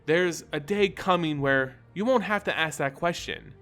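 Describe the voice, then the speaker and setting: A man voicing a wary, earnest werewolf woman in a fantasy story reading, measured and guarded. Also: a little high, fairly bright tone, close to an everyday voice. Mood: resolute. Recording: voice over background music.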